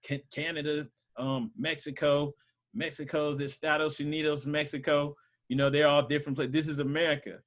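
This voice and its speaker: A man's voice: on the high side, flat delivery, moderate pace. A man casually teaching a Sunday school group over a video call, talking loosely with lots of fillers. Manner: loose and conversational, many fillers.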